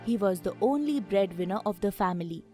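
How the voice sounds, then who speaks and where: Woman reading out a story, medium pitch, bit of music under it. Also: lively intonation, a little fast, dark tone. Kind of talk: scripted story narration.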